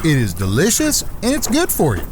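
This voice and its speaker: A man's voice: a little high, huge pitch swings, a little fast, background noise. A man playing a naive, touchy youngest brother among gunslingers in a Western audio drama, talking in a folksy way. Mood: indignant.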